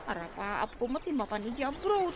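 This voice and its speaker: A woman's voice: on the high side, sing-song, fairly quick, noisy background. A woman playing a whiny, lazy college-age daughter in an Ilocano radio drama. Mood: whining, complaining.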